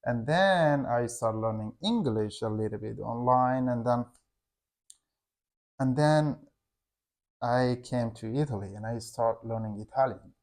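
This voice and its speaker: A young man speaks English as an in a relaxed chat with his wife, at an ordinary, mid-range pitch. He pauses often and circles back to find his words, filling the gaps with "like". He sounds like a teacher talking informally to his learners. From Afghanistan. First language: Hazaragi. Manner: halting, searching for words, frequent "like". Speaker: English teacher and channel co-host.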